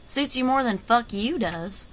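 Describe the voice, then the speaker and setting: Woman playing a high, lively frontier gunslinger boss. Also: unhurried. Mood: firm, unflustered. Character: confident, folksy, sharpshooting frontier woman leader, calmly menacing. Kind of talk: audio drama acting.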